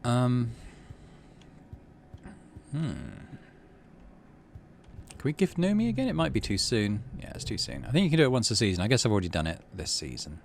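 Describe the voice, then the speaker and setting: A man's voice: mid-range voice, big pitch swings, normal speed, pauses often, slight background noise. A middle-aged man giving casual commentary as he plays a video game. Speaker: let's play host. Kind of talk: casual game commentary and reading text aloud.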